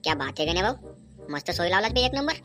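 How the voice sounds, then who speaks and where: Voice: medium pitch, big pitch swings, fast talker, pushed and bright. A performer voicing a cheeky village drinking buddy in a comic cartoon, giving teasing, coarse advice in rural Vidarbha Marathi slang. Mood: easygoing. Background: music.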